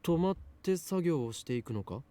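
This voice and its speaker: A man's voice: fairly high pitch, animated, very fast, mellow, natural voice. A man voicing a grandiose, theatrical young mad scientist in an anime-style game. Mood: surprised, questioning. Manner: pompous, theatrical. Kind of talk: Japanese anime game voice acting.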